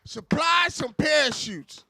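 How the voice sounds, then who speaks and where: A man's voice: very high voice, expressive, takes it slowly, bright, forceful tone, dry recording. A man co-hosting a live talk show with casual, slangy banter, often interjecting and repeating himself. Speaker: radio show co-host.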